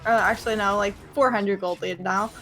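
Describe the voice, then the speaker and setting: A woman's voice: mid-range voice, fairly even intonation, moderate pace, a bit bright. A woman giving esports color commentary, hesitant and filler-heavy, breaking into giggles. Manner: hesitant, many ums and uhs, giggly.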